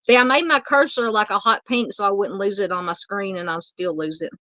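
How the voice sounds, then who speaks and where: A woman's voice: medium pitch, brisk, pushed and bright, no room sound, dull audio. A woman hosting a true crime livestream, chatting casually and reading viewer comments, her voice worn and tired from talking. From Southern United States. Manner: rambling, chatty, many fillers. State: losing her voice.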